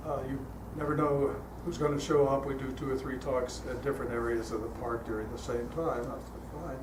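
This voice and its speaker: An older man speaking as a guest at a church, telling stories in a relaxed, chatty way with frequent fillers, his voice calm. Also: medium pitch, brisk. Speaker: guest speaker leading a guided meditation. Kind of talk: storytelling talk to a congregation. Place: church hall. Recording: echoey hall, heard from a distance, noisy.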